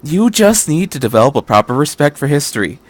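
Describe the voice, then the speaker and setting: A man's voice: fairly high pitch, very animated, fairly quick, dry recording. A man voicing an eager teenage schoolboy with a casual, matter-of-fact manner, in a story reading.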